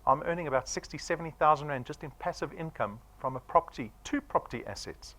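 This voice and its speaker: A man's voice: fairly high pitch, animated, quick pace, fairly bright tone. A man of forty with a South African accent, giving a punchy sales presentation to an audience and firing off rhetorical questions. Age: 40s.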